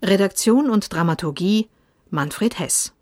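A woman reading scripted radio credits as an announcer, formal and measured. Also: mid-range voice, lively intonation, a little mellow.

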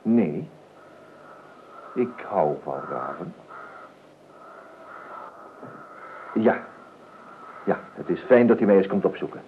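An actor playing a nervous, evasive, eccentric gentleman in a radio play, stammering and repeating himself with ironic courtesy. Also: deep voice, expressive, unhurried. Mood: falsely polite. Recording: tinny, band-limited old radio recording with noise.

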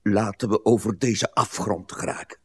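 Grumpy old husband, husky voice. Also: male voice, lowish voice, steady tone, normal speed, darkish tone, no echo, studio quality. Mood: irritable. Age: older. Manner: irritable, complaining, pedantic. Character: grumpy old husband, pedantic, bitter and weary. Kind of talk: radio play acting.

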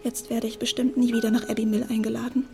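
Casting: sweetly gullible young lady, very high girlish voice, wavering and eager to please, period radio play with music behind. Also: flat delivery, quick pace, gravelly. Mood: wistful. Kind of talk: period radio drama acting.

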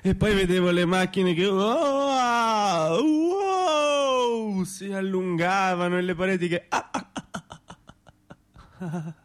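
A man's voice: sits high, clear, resonant tone. An actor playing a dazed, nostalgic old hippie man in a radio play, rambling in broken phrases with a Tuscan Italian accent. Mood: awed. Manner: slow, rambling, broken by sighs and chuckles. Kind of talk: theatrical radio drama monologue.

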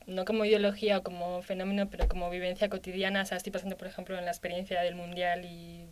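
Low, flat-voiced woman on radio. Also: quick pace, a little hiss or hum. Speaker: discussion guest. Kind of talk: radio round-table discussion. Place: radio studio.

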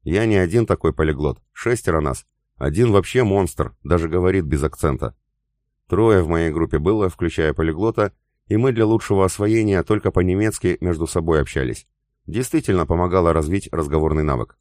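A man reading a novel aloud in the first person, with even, unhurried storytelling. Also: low-pitched, no room sound, studio quality. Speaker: audiobook narrator. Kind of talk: first-person scripted narration.

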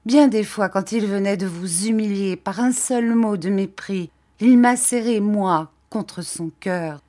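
A woman's voice: fairly low pitch, slow, a little mellow. A woman reading a storybook, voicing a bitter scarred woman in passionate fury, with imperious, accusing commands and reproaches. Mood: proud, wounded passion. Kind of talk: dramatic audiobook acting of a classic novel.

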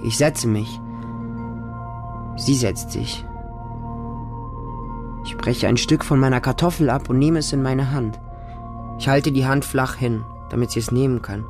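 A man playing a small, wary homeless boy who narrates his hungry street life in short, simple sentences for a radio drama. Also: medium pitch. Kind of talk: radio drama monologue in present tense.

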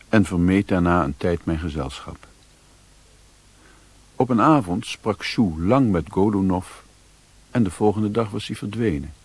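A man playing the grave narrator of an adventure in a radio drama, with a husky voice. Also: sits low, darkish tone, no room sound, heavily acted.

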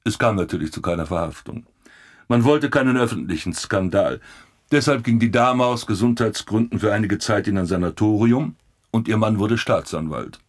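An actor playing a blunt, grumbling police commissioner with a sardonic, gossipy manner in a German radio play. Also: male voice, on the low side, normal speed, natural voice. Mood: suspicious, confiding. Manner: sardonic, colourful.

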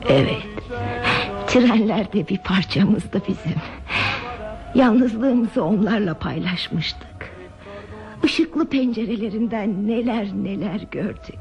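Unhurried, wistful ageing wife in radio drama. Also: female voice, mid-range voice. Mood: nostalgic. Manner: slow, wistful, expressive delivery. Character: wistful ageing wife leaving her railway home of thirty years. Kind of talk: Turkish radio theatre acting. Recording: dull lo-fi radio recording with music behind.

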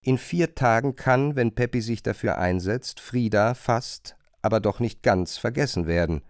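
A man's voice: mid-range voice, a little slow, clean tone, dry recording. A man narrating a radio drama in a scripted, literary style.

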